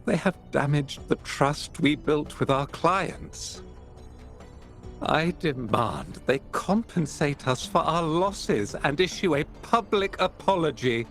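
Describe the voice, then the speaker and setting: A man's voice: on the high side, lively intonation, takes it slowly, fairly bright tone, faint music behind, full character voice. A male actor playing a pompous, indignant art exchange boss who speaks in formal, self-righteous oratory.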